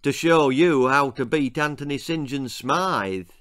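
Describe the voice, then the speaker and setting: A man's voice: medium pitch, measured pace, fairly bright tone, natural voice. A man voicing a cryptic, crafty old running coach in a children's storybook, evasive and commanding. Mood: bossy, smug.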